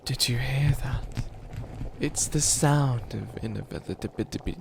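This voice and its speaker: A man puts on a menacing storybook villain, breathy and half-whispered with a gravelly edge. Each pompous threat is stretched out slowly and theatrically as an ASMR monologue, with clear watery noise in the background. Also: medium pitch. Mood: grandiose, ominous. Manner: pompous, drawn-out delivery. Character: menacing theatrical evil villain rehearsing doom-laden threats. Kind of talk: slow dramatic villain monologue in ASMR roleplay.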